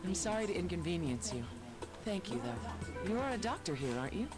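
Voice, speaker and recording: A woman's voice: on the low side, big pitch swings, brisk. An actress voicing a composed female agency director in a video game, formal and poised. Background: game music.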